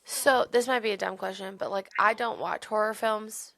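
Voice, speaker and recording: A woman's voice: mid-range voice, steady tone, moderate pace, creaky, fairly bright tone. A woman chatting casually and informally as a podcast co-host, peppering her talk with "like". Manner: chatty, informal, heavy use of "like". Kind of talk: casual chat.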